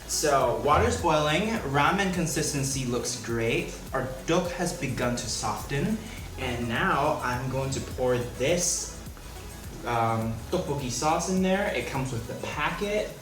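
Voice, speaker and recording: Chatty young man cooking in an echoey room. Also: mid-range voice. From Hong Kong. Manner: chatty, playful asides. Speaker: vlogger, home cook. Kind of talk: casual cooking vlog chat. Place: small apartment room. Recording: echoey room with music behind.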